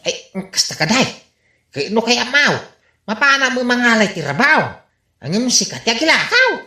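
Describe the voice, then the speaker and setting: A woman's voice: on the high side, sing-song, moderate pace, pushed and bright, echoey room, exaggerated voice. A woman with an Ilocano accent voicing a sly, wheedling husband in a radio comedy drama. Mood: puzzled bantering. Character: sly, wheedling husband who gathers bamboo shoots.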